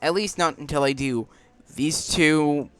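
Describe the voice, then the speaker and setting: A man casually talks through a video game playthrough. His voice is smooth and mid-pitched, and he takes his time as he thinks aloud over faint music. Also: measured pace, fairly bright tone, dry recording. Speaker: gaming video maker.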